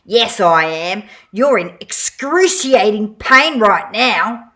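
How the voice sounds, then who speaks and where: Woman as a small bully shark toy: lowered, gruff, deadpan threats. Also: slow. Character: tough little clothespin shark, gruff bully.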